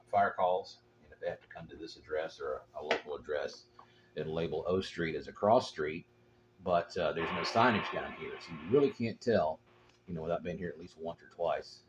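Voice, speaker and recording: A man with an extremely low voice talks casually as a radio operator in a matter-of-fact, rambling manner. The audio is dull and lo-fi, with clear noise around him. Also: normal speed. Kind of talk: casual chat over two-way radio. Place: portable, out at a local spot.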